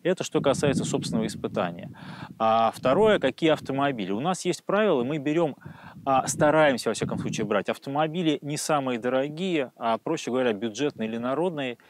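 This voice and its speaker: A man in his forties, a test expert explaining on camera with careful, pausing phrasing, recorded outdoors on a lapel mic. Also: mid-range voice, expressive. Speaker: car endurance test expert. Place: outdoors on an open tarmac test track.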